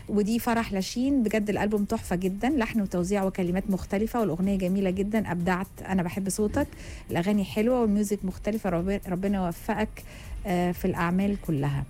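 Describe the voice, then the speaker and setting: A woman's voice: medium pitch, dark tone. A woman with an Egyptian accent hosting a radio interview, chatty, with many fillers. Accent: Egyptian Arabic. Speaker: radio host.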